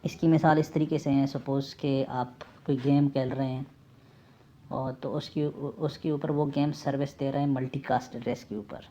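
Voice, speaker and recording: A man's voice: mid-range voice, little pitch movement, normal speed, mellow. A man giving an informal tutorial as an instructor, repeating key terms and mixing in English technical words. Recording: dull lo-fi mic with faint noise.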